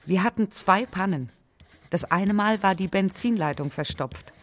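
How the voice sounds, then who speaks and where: A woman's voice: mid-range voice, a little slow, natural voice. A woman voicing a resolute pioneer's wife and mother as she reads out her letters to her husband, plain and matter-of-fact, in an audio documentary. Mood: composed, matter-of-fact. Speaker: voice actor reading letters.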